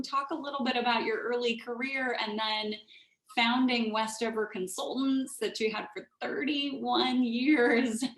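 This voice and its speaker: Female host, encouraging and friendly, roomy sound. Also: a little high. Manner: warm, encouraging questions with laughs. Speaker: interview host. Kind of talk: interview. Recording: echoey room.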